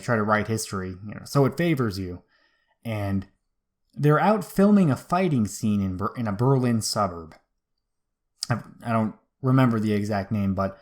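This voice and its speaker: Male history show host, medium pitch, informal and conversational storytelling with slangy asides.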